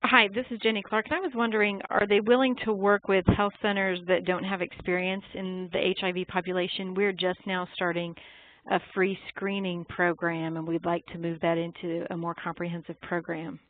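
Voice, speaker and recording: A woman's voice: fairly low pitch, little pitch movement, moderate pace. A woman calling in to ask a question about the program. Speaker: caller. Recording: tinny phone line.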